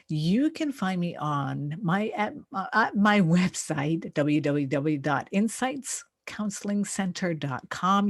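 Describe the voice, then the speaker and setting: A woman's voice: lowish voice, no room sound, studio quality. A woman hosting a self-help radio show, talking conversationally in an encouraging, emphatic way. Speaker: radio show host, therapist and life coach.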